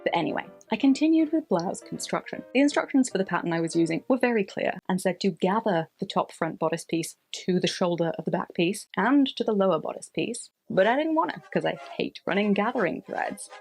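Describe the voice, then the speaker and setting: A woman delivers a scripted vlog narration with expressive, theatrical emphasis. Her voice sits at a middling pitch for a woman. Music plays faintly behind her as she talks through a craft project. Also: fairly quick, hardly any pauses. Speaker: sewing vlog host. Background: background music.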